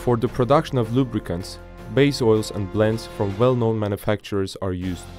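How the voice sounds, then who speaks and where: A man giving a measured, formal scripted narration for an industrial report. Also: on the low side, a little mellow. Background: music bed.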